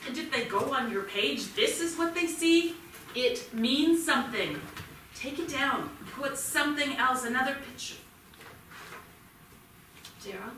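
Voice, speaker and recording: Stern, anxious mum, played by a stage actress, high-pitched, naturalistic, in a very reverberant hall. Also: female voice, lively intonation, measured pace, long pauses, pushed and bright, full character voice. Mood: alarmed, exasperated. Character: worried, stern mother confronting her arrested teenage son. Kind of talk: staged play reading, naturalistic stage acting. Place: theatre stage at a staged reading. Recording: echoey theatre, distant mic, clear noise.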